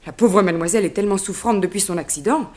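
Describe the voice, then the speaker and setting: An actress playing a respectful, talkative and gossipy chambermaid in a 1960s radio serial. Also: female voice, medium pitch, quick pace, mellow, close to an everyday voice. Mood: chatty, concerned. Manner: deferential, chatty.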